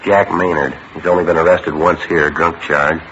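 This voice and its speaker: An actor playing a terse police sergeant who narrates the case in a clipped, matter-of-fact way, in a 1950s radio crime drama. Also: male voice, low voice, level tone, moderate pace, pushed and bright, a little hiss or hum. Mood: reporting. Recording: dull old radio broadcast with music.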